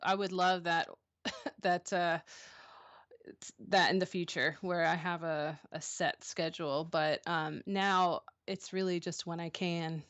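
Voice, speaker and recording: A woman in her thirties chats as a guest on a streaming interview. Her voice sits low for a woman, and she talks in a halting way, filling her gaps with ums and restarting her sentences. The sound is dry, with almost no room. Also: fairly even intonation, a bit bright, no echo, highs cut off. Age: 30s. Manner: hesitant, many ums and restarts. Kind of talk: casual interview answers.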